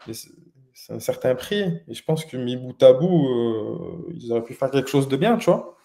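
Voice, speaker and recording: A man's voice: mid-range voice, animated, moderate pace, creaky, warm tone. A young man chatting casually in an interview about his business, hesitant, with many fillers and restarts. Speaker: interviewed entrepreneur.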